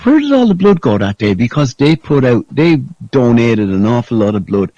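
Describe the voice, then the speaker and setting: A man's voice: medium pitch, very animated, normal speed, mellow, no echo. A man chatting casually and telling stories in a sweary, conspiratorial way over a lo-fi voice call. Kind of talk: casual chat. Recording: dull lo-fi call audio.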